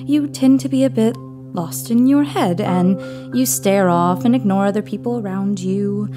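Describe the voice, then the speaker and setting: An actress playing a prim, cautious housekeeper in an audio drama. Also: female voice, mid-range voice, unhurried, music in the background, lightly acted.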